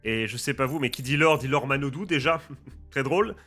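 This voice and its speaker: Bright, lively man with a slight cold. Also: mid-range voice, a little fast, faint music behind. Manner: lively, addresses viewers as friends and guys. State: a bit of a head cold. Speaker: gaming YouTuber and streamer. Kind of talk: casual chatty rules explainer.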